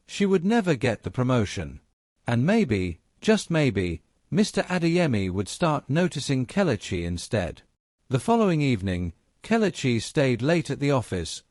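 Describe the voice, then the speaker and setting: A man's voice: mid-range voice, a little mellow, dry recording, clean studio sound. A man reading a scripted dramatic story as a narrator.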